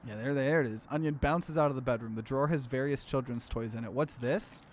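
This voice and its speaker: A man's voice: medium pitch, fairly quick, a little hiss or hum. A man hosting a let's play, casually commenting and reading game text aloud with expression.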